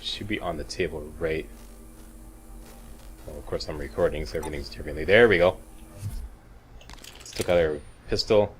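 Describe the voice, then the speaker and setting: A man with a low voice gives casual game walkthrough commentary. He is chatty and wry, a bit crude, and often checks in with the listener. Faint noise and game ambience sit behind him. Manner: chatty, wry, mildly profane, checks in with "okay" and "right". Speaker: video game walkthrough host.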